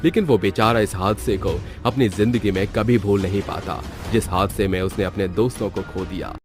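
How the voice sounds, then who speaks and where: Low-pitched male horror narrator over music. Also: moderate pace. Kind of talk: scripted horror story narration.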